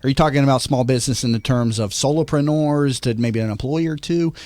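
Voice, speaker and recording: A male talk-show host with a middle-pitched voice, recorded dry in a studio. He rambles loosely and jokes at his own expense. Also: a little fast, darkish tone, dry recording. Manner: rambling, self-deprecating, laughs easily. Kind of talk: casual talk-show chat.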